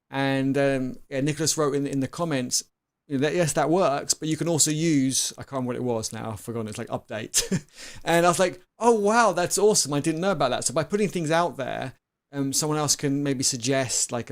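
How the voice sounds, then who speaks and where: A man hosting a live stream, chatting casually and acting out quotes with lively swings, often repeating words as he restarts. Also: medium pitch.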